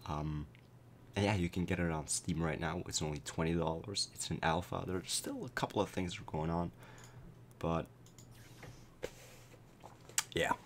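Young man, very low strained voice, cracking, laid-back game explainer, faint hiss behind. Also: normal speed, long pauses. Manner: relaxed, explanatory, many fillers. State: voice cracking after a concert. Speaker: gameplay commentator. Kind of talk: casual gameplay commentary.

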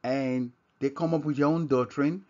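A man with a Nigerian accent giving an informal scripture lesson as a religious teacher, halting and often repeating and rephrasing himself. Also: medium pitch, fairly even intonation, a little mellow. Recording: dull, lo-fi microphone sound.

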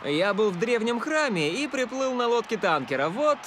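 A woman's voice: a little high, big pitch swings, moderate pace, background noise. A woman voicing an earnest, cheeky young male footballer in a cartoon, with a casual boyish manner. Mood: casual, sheepish. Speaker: cartoon voice actor. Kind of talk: animated cartoon dubbing. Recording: loud music behind the voice.

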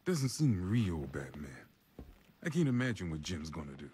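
An actor playing a weary, gruff, plainspoken policeman in a video game. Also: low voice, big pitch swings, normal speed, dark tone, full character voice. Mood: weary, grateful. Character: weary, gruff male police officer, respectful ally. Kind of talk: video game drama acting.

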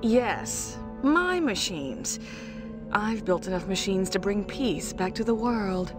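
A young cartoon villainess, proud and determined. She speaks in a measured, threatening way at a middling pitch, with dramatic music behind her. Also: lively intonation. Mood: cool, proud. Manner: measured, menacing. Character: cool, determined young woman villain, proud machine builder. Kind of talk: cartoon game voice acting.

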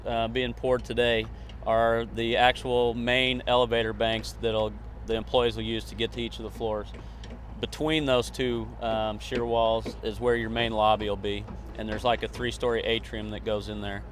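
A man's voice: mid-range voice, a bit bright. A middle-aged man giving explanatory interview answers outdoors on a building site into a handheld microphone. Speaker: construction site guide. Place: open outdoor construction site. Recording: faint outdoor vehicle noise.

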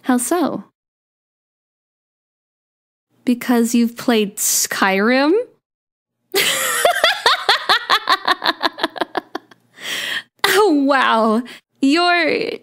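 A woman playing a playful, sweet young daughter of Cupid in an ASMR romance roleplay, coy and gently teasing. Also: fairly high pitch. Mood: curious, teasing.

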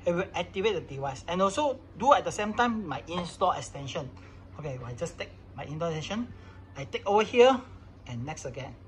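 A man's voice: sits high, big pitch swings, a little fast. Man giving a tutorial, noisy recording. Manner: casual non-native English phrasing. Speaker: product demonstrator. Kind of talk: informal hands-on tutorial narration.

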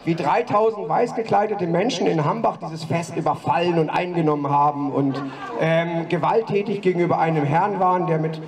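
A man giving a halting protest speech at an open mic on a city street, with many fillers and restarts, speaking into a handheld mic. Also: on the high side, steady tone, far from the mic, crowd noise. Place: city street outside a broadcast building. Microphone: handheld mic, far camera.

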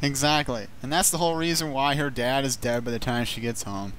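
A man's voice: medium pitch, steady tone, fairly quick, a bit bright, a little hiss or hum. A man in a casual chat about a video game, with sarcastic, foul-mouthed banter.

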